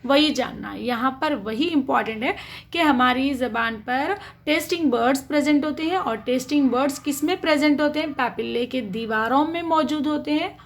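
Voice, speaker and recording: A woman teacher explaining a biology lesson, often asking a question and then answering it herself, with a steady "okay" between points. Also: high-pitched, moderate pace. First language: Urdu. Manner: asks questions then answers them, frequent "theek hai".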